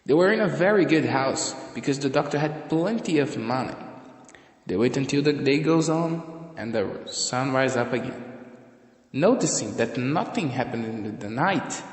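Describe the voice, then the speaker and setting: A man's voice: mid-range voice, lively intonation, normal speed. A man narrating a scripted audio drama story. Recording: echoey room with long reverb.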